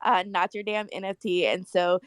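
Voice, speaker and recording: A woman's voice: lowish voice, fairly even intonation, normal speed, a bit bright, clear, resonant tone, no room sound, clean studio sound. A woman talking casually and frankly on a panel, blunt and slangy, hammering her points. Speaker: panelist in an online music discussion.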